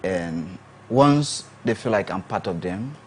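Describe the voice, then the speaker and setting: A man guesting on a talk show, relaxed and laughing easily as he explains with examples. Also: sits low, takes it slowly, a little hiss or hum. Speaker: guest content creator. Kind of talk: casual interview chat. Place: TV studio.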